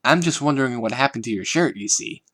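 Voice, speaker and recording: A man's voice: mid-range voice, expressive, fast, a bit bright. A man voicing a refined, theatrical teenage girl who sighs dramatically, in a fan-made audio reading. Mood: put-upon. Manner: prim, theatrical sighing.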